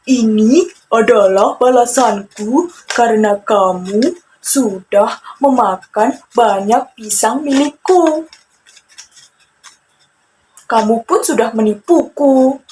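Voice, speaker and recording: A woman voicing a wronged, resolute male turtle who speaks deliberately and reproachfully in a children's fable. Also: fairly high pitch, darkish tone, slight background noise. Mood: vengeful. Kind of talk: children's storytelling character voice.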